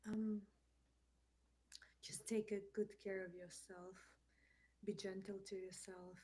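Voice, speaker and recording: Soft breathy woman, hesitant speech. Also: medium pitch, level tone, takes it slowly. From Ukraine. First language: not English. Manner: hesitant, many fillers and restarts. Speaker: guest. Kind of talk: casual interview answers.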